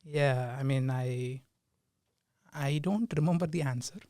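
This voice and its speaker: A young man from India, a podcast guest, answering in casual chat with pauses and frequent laughs. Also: medium pitch, darkish tone, dry recording, clean studio sound. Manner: hesitant, laughs often. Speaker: guest, team lead.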